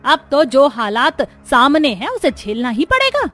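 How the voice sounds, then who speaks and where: A woman's voice: high voice, sing-song, measured pace, a bit bright, soft background music, heavily acted. A woman voicing a firm, practical elderly village mother-in-law in a cartoon story, scolding and advising. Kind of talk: Hindi cartoon story acting.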